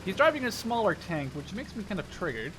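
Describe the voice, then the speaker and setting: A man in his twenties with a rather high-pitched voice commentates a game in a casual, informal way. He rambles and drifts into storytelling asides. Vehicle and game noise runs faintly behind his voice. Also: sing-song, brisk. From Netherlands. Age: 20s. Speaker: gaming video host.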